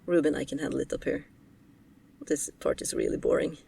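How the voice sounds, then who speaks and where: A laid-back female paranormal investigator voiced by a woman in an audio roleplay, heard at a distance from the mic. Her voice is creaky with fry, and her delivery is casual and offhand. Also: deep voice, steady tone, fairly quick, mellow, slight background noise.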